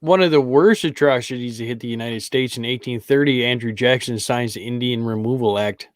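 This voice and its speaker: A man's voice: mid-range voice, brisk, no room sound, clean studio sound. A man co-hosting a podcast in casual panel chat. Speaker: podcast co-host.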